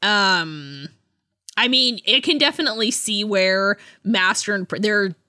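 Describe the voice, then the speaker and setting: A woman podcast co-host in casual book discussion, animated and giggly, often interrupting herself. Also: mid-range voice, measured pace, dry recording, studio quality.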